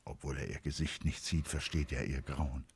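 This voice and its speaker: An actor as a grave, brooding narrator of a literary radio drama, with a husky, breathy voice. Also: male voice, rumbling low, little pitch movement, moderate pace, full character voice. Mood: brooding, hushed.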